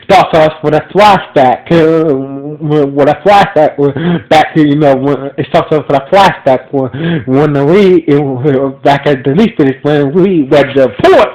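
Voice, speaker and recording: A man with a stuffy, congested nose talks through an episode recap in a somewhat high voice. He stumbles constantly, circling back with restarts and filler words. It sounds like a casual home podcast. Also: a little mellow, poor audio. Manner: halting, many fillers and restarts. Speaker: anime review podcaster.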